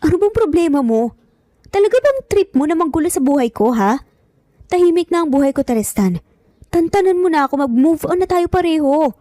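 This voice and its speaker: A woman's voice: high-pitched, animated, brisk, dark tone, no room sound, voice pushed up. A woman voicing a guarded, firm young single mother in an audio drama, with a Filipino accent. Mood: upset, defensive.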